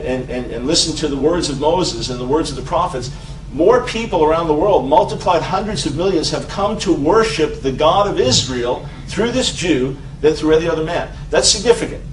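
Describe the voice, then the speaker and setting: A man from Long Island talking to an audience in a conversational way, often repeating words and checking in with "okay". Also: on the high side. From Long Island, New York. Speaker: speaker. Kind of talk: talk to an audience. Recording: roomy, distant mic with faint background noise.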